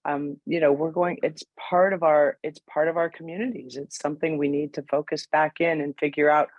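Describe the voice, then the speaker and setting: A former clinician, a woman with a hoarse voice, speaking in a measured, agreeable way in an online meeting. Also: sits low, level tone, no echo, narrow, tinny audio. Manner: measured, agreeable, with many fillers. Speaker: former clinician on a global health team. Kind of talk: video call meeting discussion. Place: home room indoors.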